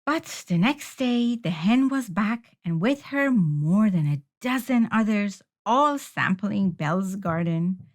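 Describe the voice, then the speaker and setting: A woman reads a children's story aloud at a slow, deliberate pace. Her telling is expressive, and her voice sits at a middle pitch. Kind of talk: children's picture book read-aloud.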